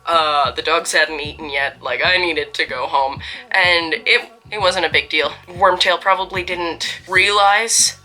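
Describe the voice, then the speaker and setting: Chatty, wry young woman, very bright voice. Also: on the low side, unhurried, soft background music, rough recording. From Canada. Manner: chatty, wry and self-deprecating. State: getting over a cold. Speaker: vlogger telling a story. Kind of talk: casual story time.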